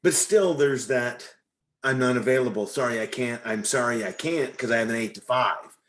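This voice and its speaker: A man explaining himself in a halting, conversational way while asking a question on an online group call. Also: mid-range voice, fairly bright tone.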